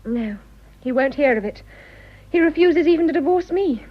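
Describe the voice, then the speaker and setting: An actress playing an earnest, defiant young wife in love, speaking simply and resolutely in a radio drama. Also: female voice, high-pitched, lively intonation, normal speed, highs cut off. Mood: candid, unhappy. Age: young adult.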